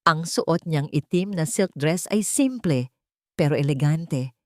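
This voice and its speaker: A woman's voice: low voice, lively intonation, measured pace, dry recording. Woman narrating drama, close dry sound. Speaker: story narrator. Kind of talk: scripted dramatic romance narration.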